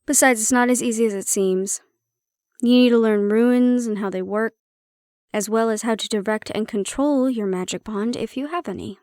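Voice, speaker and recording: A woman's voice: a little high, moderate pace, darkish tone, no echo, natural voice. Woman acting wry, prickly fantasy handler, close-up. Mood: musing, matter-of-fact. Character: prickly but secretly caring woman handler in fantasy facility. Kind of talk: intimate audio roleplay, addressed to the listener.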